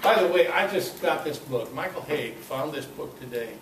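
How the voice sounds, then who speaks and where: An older man giving an informal talk at a club meeting, telling personal anecdotes in a halting, conversational way. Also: fairly high pitch, animated. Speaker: guest speaker at a club meeting. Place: meeting room, in front of an audience. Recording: echoey, noisy room recording from far off.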